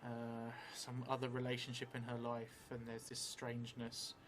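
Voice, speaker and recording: Middle-aged male solo role-player, slow and halting storytelling, flat delivery, noticeable background noise. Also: medium pitch. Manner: halting, thinking aloud, slowly dictating as he writes. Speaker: solo role-playing streamer. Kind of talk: improvised storytelling while writing and playing a solo tabletop game. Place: indoor theatre room, seated at a table. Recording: clearly noisy room recording.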